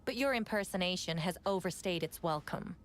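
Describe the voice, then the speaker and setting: A woman's voice: on the low side, expressive, fairly quick, slight background noise, close to an everyday voice. A woman playing a composed, sardonic knight in a video game, with a dry, taunting manner. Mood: amused, taunting. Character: composed, sardonic female knight facing her double.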